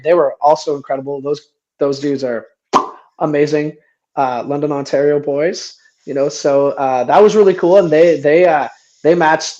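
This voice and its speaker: A man's voice: fairly high pitch, a little mellow, highs cut off. A man in his forties from Canada, talking casually as a band member guest in a radio interview, rambling with many restarts and easy laughs. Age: 40s.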